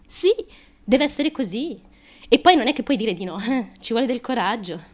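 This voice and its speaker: An actress playing a troubled young woman in an intimate radio theatre monologue, confessional and crude, halting and nervous, with abrupt emotional swings. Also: on the high side, animated. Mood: nervously amused.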